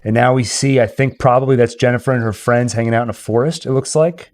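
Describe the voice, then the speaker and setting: A man's voice: mid-range voice, fairly even intonation, quick pace, a little mellow. Casual male livestream co-host. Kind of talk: casual chat while playing a game.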